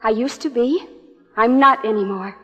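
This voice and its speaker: An actress playing a frightened, insistent young dream double, pleading and eerie, in 1950s suspense radio style. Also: female voice, fairly high pitch, normal speed, a bit bright, dull audio, close to an everyday voice. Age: young adult. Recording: 1950s radio broadcast, long reverb.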